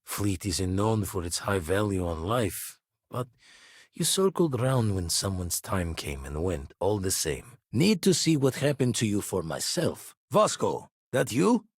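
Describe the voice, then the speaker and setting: A gruff veteran spacefarer from a video game, once a pirate. The voice is husky and on the low side, swinging expressively through measured, wry, slang-heavy lines. It sounds close and dry. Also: male voice, sing-song, dry recording, heavily acted. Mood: grave, wry, then steady, measured. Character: seasoned, gruff male space explorer, ex-pirate mentor. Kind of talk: scripted game character dialogue.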